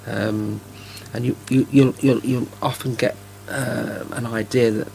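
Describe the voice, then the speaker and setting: Gravelly middle-aged man from Norwich. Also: medium pitch, level tone, darkish tone, slight background noise, rough recording. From Norwich, Norfolk, England. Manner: halting, repeats words when starting a thought. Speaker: radio co-host. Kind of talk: casual radio chat.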